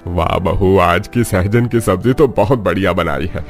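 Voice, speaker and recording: A man playing a humble, kindly old grandfather and vegetable seller in a cartoon story, speaking gently and deferentially. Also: sits low, moderate pace, faint music behind. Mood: affectionate. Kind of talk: Hindi cartoon story acting.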